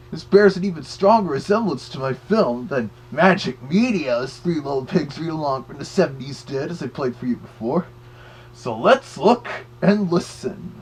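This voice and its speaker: A man's voice: a little high, a little mellow, breathy edge, low-quality recording. A man voicing a cartoon Big Bad Wolf who gives chatty, slightly hesitant commentary as a record reviewer.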